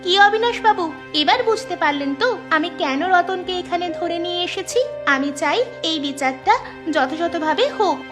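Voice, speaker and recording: A woman's voice: very high-pitched, moderate pace. A woman voicing a determined, crafty young village girl in a Bengali cartoon, speaking firmly and insistently. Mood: earnest. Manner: firm, insistent. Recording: music behind the voice.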